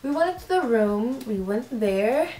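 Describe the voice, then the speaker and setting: Young woman, vlogger, high voice, casual storytelling, roomy echo. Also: measured pace. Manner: animated, acts out quoted reactions. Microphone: camera mic.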